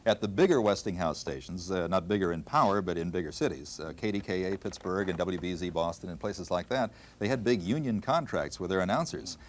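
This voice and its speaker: Older man, radio professional, fairly deep voice, conversational storyteller among peers. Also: animated, brisk, hardly any pauses, slight background noise. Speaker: veteran radio broadcaster. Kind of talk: conversational explaining among colleagues.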